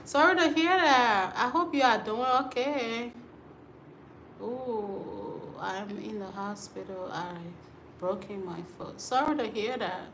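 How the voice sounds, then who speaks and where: A chatty woman from Liberia hosts a casual livestream and answers her viewers' comments at an unhurried pace. Her voice is bright and forceful, and it rings in an echoey room. Faint rain noise sits underneath. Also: a little high, expressive. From Liberia, West Africa. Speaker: livestream host. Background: storm and rain outside.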